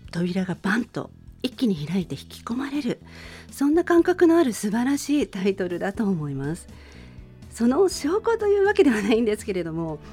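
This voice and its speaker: A woman's voice: medium pitch, mellow. A middle-aged woman hosting a radio show, introducing a song in a polite, conversational monologue addressed to listeners. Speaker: radio show host and presenter. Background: music.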